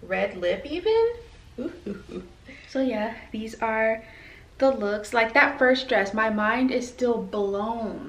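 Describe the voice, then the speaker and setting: A young woman in her twenties chatting upbeat and casual as a fashion vlogger, talking to a camera across her bedroom. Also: mid-range voice. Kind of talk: casual vlog chat. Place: bedroom at home, indoors. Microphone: camera across the room. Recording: roomy, from a distance, faint hiss.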